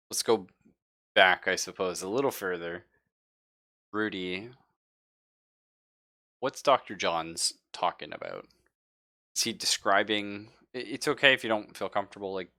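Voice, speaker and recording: A man's voice: on the low side, normal speed, pauses often, pushed and bright, no room sound, clean studio sound. A man acting as game master in a casual live tabletop roleplaying session, easygoing and encouraging as he thinks aloud.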